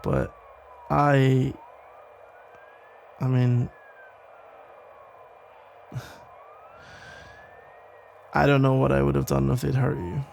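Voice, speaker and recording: Man playing a gravelly, tender protective police officer. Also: medium pitch, little pitch movement, slight background noise, heavily acted. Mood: worried, protective. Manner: hesitant, halting, rambling with sighs and soft chuckles. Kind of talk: ASMR roleplay, intimate one-sided conversation.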